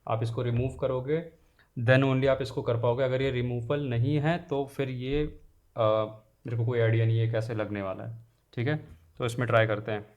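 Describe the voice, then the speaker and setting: Here is a man with an Indian accent and a mid-pitched voice. He speaks as a gear vlogger in a casual walkthrough style. He explains each point informally, slipping between Hindi and English phrases as he goes. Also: flat delivery, moderate pace, darkish tone, lo-fi sound. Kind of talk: casual unboxing and installation walkthrough.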